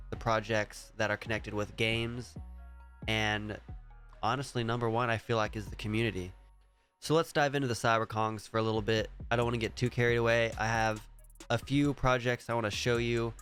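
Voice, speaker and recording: A man's voice: fairly low pitch, a bit bright. A man with a stuffy, congested voice from a cold, casually talking through projects on his screen, rambling and thinking aloud. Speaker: crypto vlogger. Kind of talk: casual screen-share commentary.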